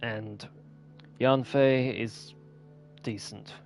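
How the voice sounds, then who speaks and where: A man hosts a gaming video with casual, unscripted commentary. He speaks slowly at an average male pitch, rambling in an offhand way. Soft game music plays faintly behind his voice. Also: steady tone.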